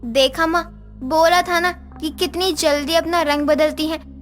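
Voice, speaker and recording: Woman acting a very high-pitched spoiled young sister-in-law. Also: fairly even intonation, a little slow, faint music behind. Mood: accusing, sly. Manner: demanding, complaining. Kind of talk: Hindi moral-story cartoon dubbing.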